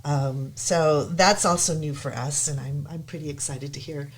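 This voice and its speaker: Guest, woman, low voice, hesitant, eager. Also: steady tone, moderate pace, husky. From United States. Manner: hesitant, many ums, enthusiastic. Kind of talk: casual chat.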